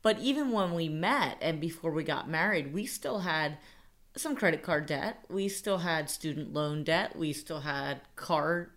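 A chatty young woman hosts a casual money podcast in a slightly low voice. She sounds upbeat and laughs easily between her points. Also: measured pace, a bit bright. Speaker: podcast co-host.

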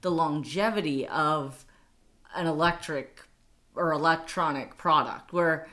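A woman's voice: sits low, measured pace, sharp tone. A woman co-hosting a casual money podcast, chatty and laughing often. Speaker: podcast co-host.

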